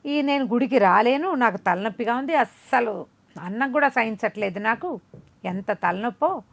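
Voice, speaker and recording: A woman's voice: fairly high pitch, lively intonation, moderate pace, vocal fry, no echo. A woman storyteller voicing a scheming young maidservant who pleads and wheedles plaintively in a folk tale. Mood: feigned suffering.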